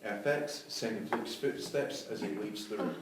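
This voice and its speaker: A man with a lowish voice reads stage directions as a script narrator. He sounds far from the mic in a strongly echoing room. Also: moderate pace. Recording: very echoey, distant mic, background noise.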